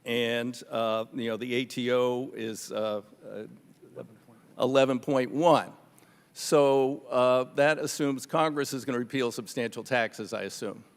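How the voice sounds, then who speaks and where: An American man questioning witnesses at a legislative hearing in a halting, skeptical, pressing way. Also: medium pitch, steady tone, clean tone. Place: large indoor hearing room. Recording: reverberant hearing room.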